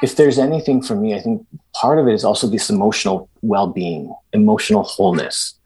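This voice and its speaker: A husky, low-voiced middle-aged man from El Salvador speaks as an interview guest. He talks animatedly, restarting sentences and throwing in emphatic asides. His tone is slightly breathy and rough. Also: brisk, a little mellow, rough recording. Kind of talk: conversational interview.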